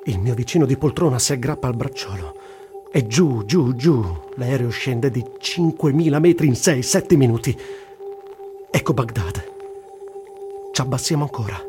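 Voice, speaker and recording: A man reads a first-person travel diary as scripted radio theatre, in a measured storytelling manner. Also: mid-range voice. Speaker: reader in a radio theatre production. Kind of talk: first-person literary narration over music.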